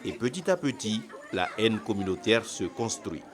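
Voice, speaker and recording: A man's voice: lowish voice, very animated, moderate pace. A man reads scripted documentary narration as a news reporter.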